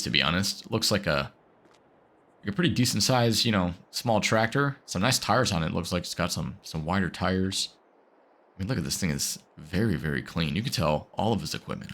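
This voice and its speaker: Deep voiced guy with a cold, rambling thru farm game commentary, um and uh everywhere. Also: gravelly, a bit bright. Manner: rambling, filler-heavy, chatty. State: getting over a cold. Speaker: gaming video host. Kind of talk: casual gameplay commentary.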